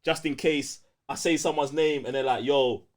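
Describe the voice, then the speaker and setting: A man's voice: fairly high pitch, moderate pace. A man with a London accent hosting a live podcast in casual, slangy banter. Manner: slangy, animated. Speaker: podcast host.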